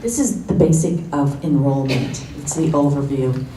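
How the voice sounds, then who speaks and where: A soft-spoken woman with a low voice talks informally to a roomful of listeners. She is recorded from a distance in an echoey meeting room, with steady noise underneath. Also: normal speed. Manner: soft-spoken, nervous at the start. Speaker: guest speaker. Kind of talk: informal presentation.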